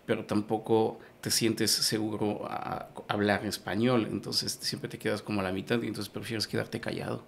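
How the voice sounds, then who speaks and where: A man's voice: lowish voice, little pitch movement, normal speed, gravelly, hardly any pauses, a little hiss or hum. A man from Yucatán with a Yucatecan Spanish accent and Maya as his first language, explaining patiently in an interview, with many fillers and restarts. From Yucatán, Mexico. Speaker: guest. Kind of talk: conversational interview.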